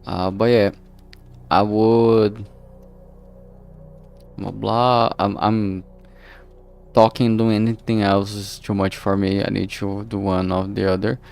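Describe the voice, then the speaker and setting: Low, creaky-voiced man chatting on stream. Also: little pitch movement, a little mellow. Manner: halting, distracted, trailing off mid-sentence. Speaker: streamer and visual novel creator. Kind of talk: casual solo livestream chat while working. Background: background music.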